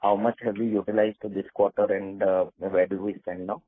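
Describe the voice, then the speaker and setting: A man with an Indian accent asks questions on a conference call. His voice is fairly low and husky. The phone line is narrow and unclear. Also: level tone, moderate pace. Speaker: analyst asking questions.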